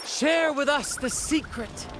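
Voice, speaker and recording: An actor playing a flamboyant, cheerful troupe captain in a video game, speaking in a theatrical, charming way. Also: male voice, very high voice, takes it slowly, background noise. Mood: grand, showy. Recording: music behind the voice.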